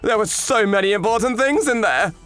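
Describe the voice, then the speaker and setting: A man voicing a loud, selfish male schoolteacher who is hooked on his phone, shouting and whining in a manga dub. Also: sits high, expressive, a little fast, smooth. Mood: upset.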